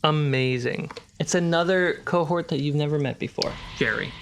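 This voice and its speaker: Higher-voiced man narrating a tabletop game. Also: normal speed, slight background noise. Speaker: game master. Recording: music and rain sound effects behind. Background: atmospheric music, rain.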